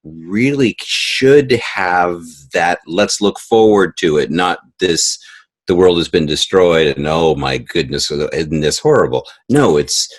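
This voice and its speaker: Low, husky-voiced man chatting. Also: takes it slowly. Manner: animated storytelling, acts out quoted remarks. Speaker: interview guest, audio drama creator. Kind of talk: casual conversational interview.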